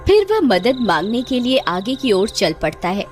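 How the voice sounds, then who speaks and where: High-pitched woman narrating a cartoon story. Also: sing-song, moderate pace, music in the background.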